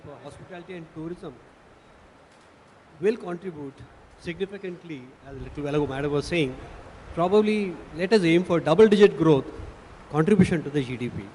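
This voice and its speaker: A man's voice: a little high, darkish tone. Hesitant Indian man in echoey hall. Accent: Indian English. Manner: hesitant, many fillers and restarts. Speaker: panelist, film city executive. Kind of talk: unscripted panel remarks. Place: large conference hall. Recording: echoey hall, faint noise.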